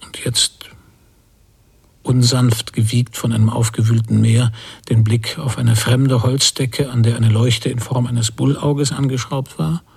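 Anxious brooding emigrant guy, radio play monologue, really breathy and creaky, halting, almost whispery. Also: mid-range voice, darkish tone, heavily acted. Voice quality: husky, breathy, often near whisper. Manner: halting, introspective, short fragments. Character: anxious, brooding emigrant husband haunted by memories. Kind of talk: literary radio drama monologue.

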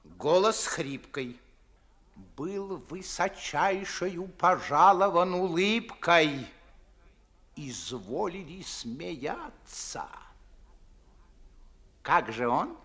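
A pompous old Moscow nobleman in a classic radio comedy. His voice is high and bright, and he lectures fussily in slow, theatrical, weighty phrases. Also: big pitch swings, full character voice. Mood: admiring, animated reminiscence. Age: older. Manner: theatrical, declamatory, drawn-out emphatic phrasing. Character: pompous, fussy old aristocratic Moscow father and official. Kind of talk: 1950s stage-style radio play acting.

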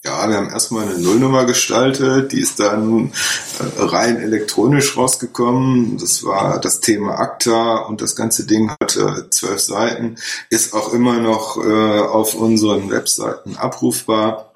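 A man in his forties explains his newspaper project, pausing often on fillers. His voice sits fairly low and the room adds a clear echo. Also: steady tone. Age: 40s. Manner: hesitant, many fillers. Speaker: newspaper editor, guest. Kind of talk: casual conversation. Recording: roomy echo.

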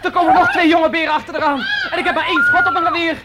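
A woman's voice: very high voice, wildly swooping pitch, racing, a loud crowd, full character voice. A woman acting a resolute young pioneer boy who leads his siblings with urgent orders in a radio drama. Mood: urgent, alarmed. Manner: commanding, urgent. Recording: very noisy old radio drama recording.

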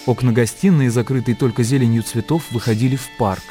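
A man narrating literary fiction for a radio drama in a measured, expressive storytelling manner. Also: medium pitch. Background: music.

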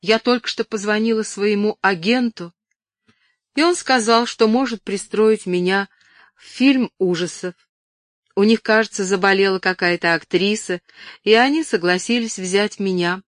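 A woman voicing a timid, apologetic young actress with a quiet, trembling little voice, in an audiobook. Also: medium pitch, no echo, clean studio sound, voice pushed up, close to an everyday voice. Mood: resigned, brave. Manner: quiet, meek, halting.

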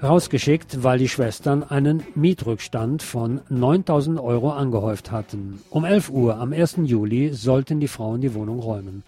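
Male news presenter, soft mellow tone, wry deliberate commentary read from script, faint guitar music underneath. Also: medium pitch.

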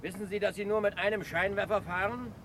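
A man's voice: high voice, fairly even intonation, fast talker, sharp tone. An actor playing a helpful, easygoing patrol policeman in a radio crime drama, hearty as he gives advice. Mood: friendly, advising. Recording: radio play street scene, faint traffic noise.

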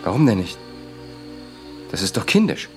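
Impatient, commanding husband: lowish voice, animated pitch, radio play. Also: male voice, normal speed, soft background music. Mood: tense, impatient. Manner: terse, commanding. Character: provocative, impatient armed husband. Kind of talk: German radio drama dialogue.

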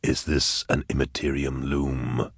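A man's voice: rumbling low, flat delivery, unhurried, no echo, clean studio sound, pitched below the performer's own voice. A man voicing a grim, commanding interrogator in an audiobook, terse, grunting and laboured, as if straining to concentrate. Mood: terse, strained. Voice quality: husky, grunting. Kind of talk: dramatised audiobook dialogue.

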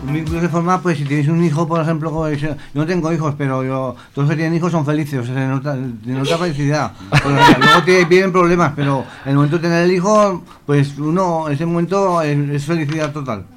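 A man's voice: fairly high pitch, steady tone, fairly quick, few pauses. A man from giving an informal personal reflection, rambling and correcting himself as he goes. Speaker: discussion participant. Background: clear background music.

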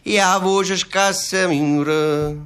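Proud, defiant male prisoner, southern Italian accent, very clear resonant tone, drawn-out sung verse. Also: high voice, steady tone, fairly bright tone. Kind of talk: stage radio drama, sung dialect verse.

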